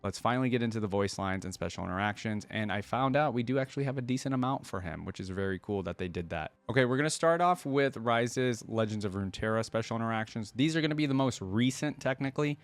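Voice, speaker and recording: A man's voice: mid-range voice, fast talker, few pauses, no echo. A man giving casual commentary as he reads aloud and reacts, hosting a game reaction video.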